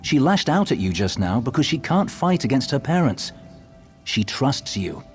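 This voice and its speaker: An actor playing a wise, earnest storyteller guide in a fantasy video game, measured and solemn. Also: male voice, medium pitch, sing-song, soft background music, natural voice. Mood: solemn, urging.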